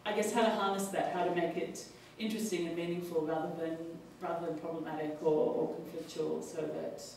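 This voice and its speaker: An Australian woman speaking at a panel discussion, halting often with fillers and restarts. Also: on the low side, little pitch movement, darkish tone. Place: large public hall. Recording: echoey hall, distant mic, faint noise.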